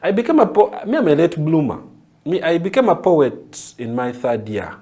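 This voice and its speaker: A young Ugandan man, a poet, answering interview questions with animated storytelling and frequent restarts. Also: a little high, normal speed. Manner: animated storyteller, repeats words and restarts phrases. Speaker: poet and writer, interview guest.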